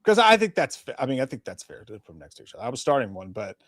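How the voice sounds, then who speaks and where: Man, animated movie chat, lively swooping pitch, profane, dry sound. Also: dry recording. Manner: animated, profane, quick to laugh. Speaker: podcast co-host. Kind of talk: casual live movie chat.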